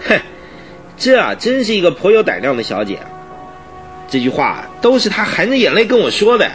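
An actor playing a chatty, excitable police inspector in a radio drama, exclaiming and sighing as he talks. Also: sits high, a little fast, soft background music. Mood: amused, admiring. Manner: exclamatory, full of sighs and interjections. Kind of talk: Mandarin radio drama acting.

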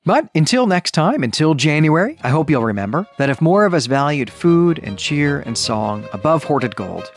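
A man's voice: a little high, sing-song, normal speed, few pauses, soft background music. A man hosting a solo podcast, giving a conversational book review in an earnest, analytical way with emphatic phrasing. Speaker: podcast host.

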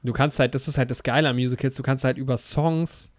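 A man's voice: medium pitch, steady tone, fast, no room sound, clean studio sound. A man chatting casually about a film, enthusiastic and rambling, with many fillers and restarts. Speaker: podcast co-host.

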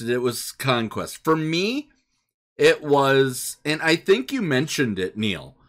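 A man's voice: fairly high pitch, fairly bright tone. A man hosting a casual gaming discussion, steering the conversation as he goes. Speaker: podcast host. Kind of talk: casual hosted discussion with listener shout-outs.

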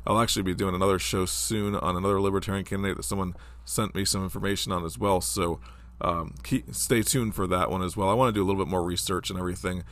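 A man's voice: low-pitched, fairly even intonation, fast talker, fairly bright tone. A man in his forties hosting a casual solo podcast, talking in a rambling, conversational way with lots of fillers, his voice stuffed up from a cold. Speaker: podcast host.

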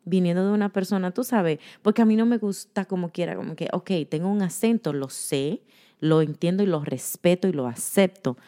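Woman in her thirties, candid and chatty, low voice, slips into English, casual conversation. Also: a little fast, mellow. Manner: candid, laughs often, mixes in English words.

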